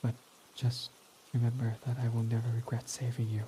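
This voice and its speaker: A young man playing a guarded male villain who confesses his love, speaking softly and often sinking to a whisper in a romantic roleplay. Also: medium pitch, monotone, a little fast, a little hiss or hum, lightly acted. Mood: soft, affectionate. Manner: soft-spoken, often dropping to a whisper. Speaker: ASMR roleplay voice actor.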